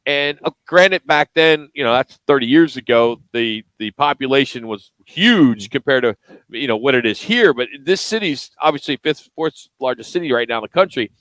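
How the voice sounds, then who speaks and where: A man's voice: mid-range voice, moderate pace, fairly bright tone, dry recording, rough recording. Opinionated middle-aged sports radio man. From Philadelphia and South Jersey area, USA. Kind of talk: casual sports talk. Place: live remote radio broadcast at a car dealership.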